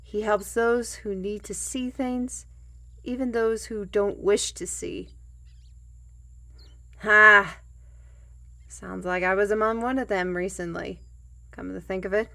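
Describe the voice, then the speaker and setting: Woman acting an unhurried, folksy fantasy trail guide. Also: mid-range voice, fairly even intonation, gravelly, pushed and bright, full character voice. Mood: fond, wry. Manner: folksy, hesitant, old-fashioned phrasing, addresses lad and lassie. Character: plain-spoken, humble fantasy trail guide and storyteller. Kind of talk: audio roleplay acting. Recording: music bed behind the voice.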